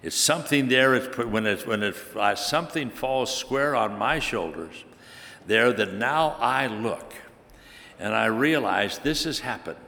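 Brief: male preacher, mid pitch, stop-start delivery, long hall reverb. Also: lively intonation, vocal fry, sharp tone. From Hungary. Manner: halting, repetitive restarts, emphatic scripture quoting. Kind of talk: sermon.

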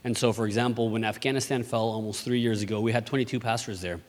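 A man's voice: fairly low pitch, steady tone, fast talker. A man from Mexico who leads a church missions ministry, giving an earnest presentation with frequent restarts and repeated words. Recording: long hall reverb.

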